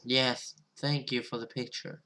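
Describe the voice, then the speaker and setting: A man's voice: mid-range voice, flat delivery, measured pace, noisy background. A man giving casual, rambling commentary on a game playthrough, reacting and correcting himself as he goes. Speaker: gaming video host. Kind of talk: casual visual novel playthrough, reading aloud with commentary.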